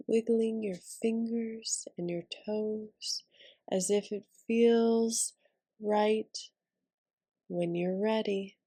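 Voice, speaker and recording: A woman leading a guided meditation in a soothing, breathy, whispery voice, leaving long pauses between gentle instructions. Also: medium pitch.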